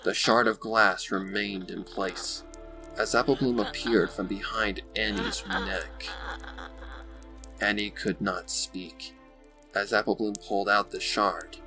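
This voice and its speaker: A man narrating a scripted story in a measured, suspenseful and theatrical way. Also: lowish voice, creaky, rough recording. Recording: loud music bed behind voice. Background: loud music.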